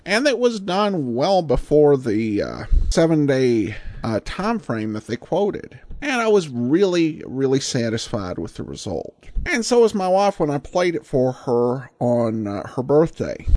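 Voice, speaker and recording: A man's voice: fairly high pitch, a little mellow, dry recording, studio quality. An American man hosting a podcast, reading introductions and sponsor messages. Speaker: podcast host.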